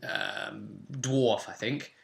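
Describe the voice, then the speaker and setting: A man's voice: fairly low pitch, wildly swooping pitch, drawn-out words, vocal fry. A young man hosting a casual tabletop role-playing tutorial as game master, chatty and given to jokey asides, recorded at home in a small room. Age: young adult. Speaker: game master and channel host.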